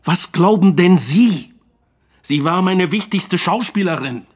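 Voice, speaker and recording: An actor playing a defensive, blustering film producer under questioning, with a husky voice, in a radio drama. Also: male voice, high-pitched, expressive, takes it slowly, exaggerated voice. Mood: indignant. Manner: aggrieved, blustering.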